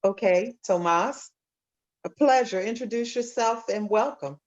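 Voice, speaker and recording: A woman presiding over a public council meeting, brisk and cordial as she greets and thanks speakers. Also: medium pitch, a little mellow, highs cut off.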